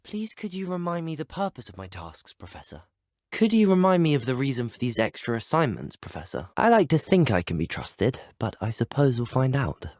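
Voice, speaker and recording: A man voices a courteous, resolute young student wizard for a video game. He is well-spoken with an English accent, his pitch moves in a lively way, and the sound is dry. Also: fairly quick, dry recording, natural voice. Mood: polite, inquiring. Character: polite, resolute teenage boy, a student wizard.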